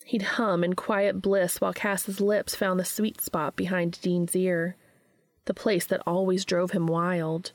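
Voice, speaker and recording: Low, creaky woman narrator. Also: little pitch movement, unhurried, no echo. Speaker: podcast host and reader. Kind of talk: scripted narration, reading fan fiction aloud.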